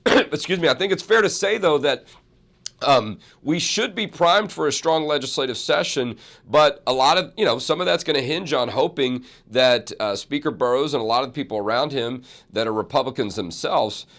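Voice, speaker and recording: A man, hoarse from a cold, hosting a talk show and rambling through asides and self-corrections. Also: mid-range voice, expressive, normal speed, fairly bright tone. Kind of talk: casual talk show chat and monologue.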